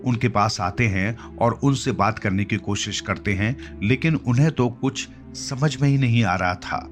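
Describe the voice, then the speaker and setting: A man's voice: mid-range voice, a little slow. A man narrating a scripted fantasy story for children as its storyteller. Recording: clear music bed under the voice. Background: background music.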